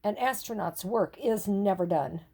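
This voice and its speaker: An older woman narrating a children's picture book expressively, with deliberate dramatic emphasis and pauses. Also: mid-range voice, warm tone, breathy edge. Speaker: storyteller reading to children.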